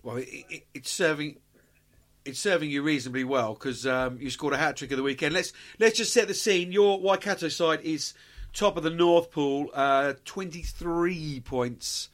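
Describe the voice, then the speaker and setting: A man hosts a sports interview with a chatty, loose delivery, often restarting his sentences. His voice sits somewhat high for a man. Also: normal speed, fairly bright tone. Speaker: interview host.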